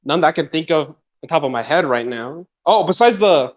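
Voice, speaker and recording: A man's voice: a little high, animated, fairly quick. A young man co-hosting a podcast in casual chat, teasing and laughing often, with a slightly sore throat. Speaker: podcast co-host.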